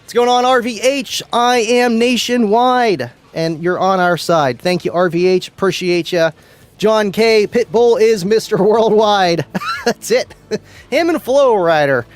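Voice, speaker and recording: A man hosting a casual livestream, chatting excitedly with viewers, laughing often and tripping over names. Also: sits high, a little slow. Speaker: livestream host. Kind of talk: casual livestream chat reading viewer comments. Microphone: studio mic.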